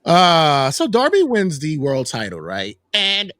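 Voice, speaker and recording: A man hosting a casual wrestling news podcast, talking in an animated, joking way. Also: fairly high pitch, slow pace, a little hiss or hum. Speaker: podcast host.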